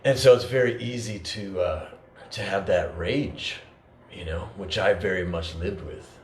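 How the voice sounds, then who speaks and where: A deep-voiced man talks things through in an earnest, halting way, full of fillers and restarts. His voice echoes around the room, with a faint hiss underneath. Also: a little mellow. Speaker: podcast co-host. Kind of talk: reflective conversational talk.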